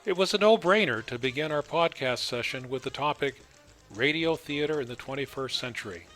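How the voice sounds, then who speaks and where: A man's voice: medium pitch, a little fast, fairly bright tone, soft background music. A man speaking as the host of an interview podcast. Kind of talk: scripted introduction, then conversational interviewing.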